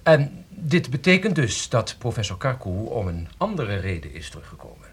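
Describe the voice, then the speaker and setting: Husky, sharp-witted sleuth. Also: male voice, fairly low pitch, big pitch swings, moderate pace, heavily acted. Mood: calm, probing. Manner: reasoning, precise, probing. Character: calm, sharp-witted male amateur sleuth. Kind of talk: Dutch radio play acting.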